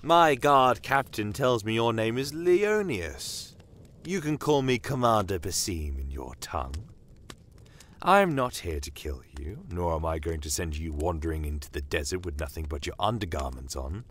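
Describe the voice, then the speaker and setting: A man voicing a shrewd, courteous non-human commander in a fantasy audio drama, measured and politely menacing. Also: deep voice, very animated, fairly bright tone, a little hiss or hum. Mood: calm, assured.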